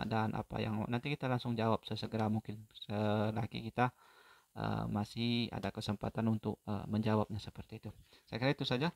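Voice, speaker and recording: Low gravelly man, flat delivery. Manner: unhurried, explains step by step with many fillers. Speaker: phone repair tutor. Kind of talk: casual repair tutorial.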